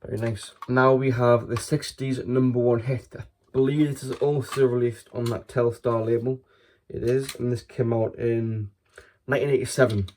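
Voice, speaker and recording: A man's voice: medium pitch, level tone, moderate pace, darkish tone, slight background noise. A man with a British accent casually presenting a collection as a video host, in a plain, matter-of-fact way. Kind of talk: casual show-and-tell.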